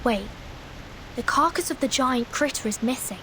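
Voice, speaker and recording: A woman voicing a calm, determined young female investigator in a video game, terse and measured. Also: sits high, slight background noise, poor audio. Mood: focused, dry.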